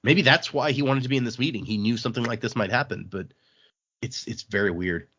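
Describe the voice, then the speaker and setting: A man's voice: medium pitch, fairly quick, a bit bright, breathy edge. A man casually walks through a story as a podcast host. His voice sits in a middle register and his delivery is informal. The recording is slightly dull. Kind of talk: casual book recap with acted-out quotes.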